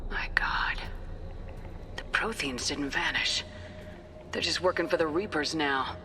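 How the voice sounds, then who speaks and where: An actress playing a resolute, grim military starship commander in a video game, with a clipped, decisive manner. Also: female voice, sits low, measured pace, music in the background. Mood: grim, shaken.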